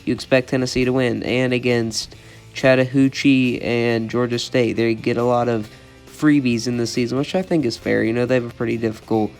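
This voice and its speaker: A man's voice: mid-range voice, moderate pace, soft background music. A young man with a cold, sounding congested, giving college football predictions in an opinionated, repetitive way as a channel host. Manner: monotone, opinionated, repeats "I think".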